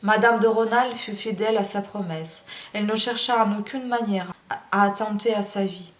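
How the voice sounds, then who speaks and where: A woman's voice: medium pitch, moderate pace. A woman reading a classic novel aloud in a language that is not her first, then chatting hesitantly with many fillers. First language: not French. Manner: emotional, admits crying while reading. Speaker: audiobook reader.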